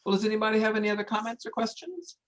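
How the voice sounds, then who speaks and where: Older woman with a low, emphatic voice. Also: rapid-fire. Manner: emphatic, repeats phrases for stress. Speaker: wildlife sanctuary founder hosting a story time. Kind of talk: earnest informal talk with audience.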